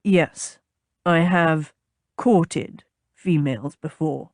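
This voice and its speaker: A woman voicing a stiff, pompous male captain in an audiobook, with a low growly tone and a clipped, exasperated, formal manner. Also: extremely slow, no echo, clean studio sound, full character voice. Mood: stern, curt. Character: gruff, pompous man, a stiff captain and bodyguard.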